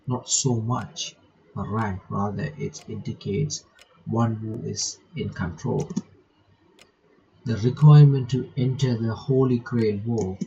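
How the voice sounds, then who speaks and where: A man doing a visual novel playthrough, reading the text aloud with casual asides, a bit halting and stumbling over words. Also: mid-range voice, creaky, warm tone. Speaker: reaction channel host. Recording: dull lo-fi mic, faint background noise.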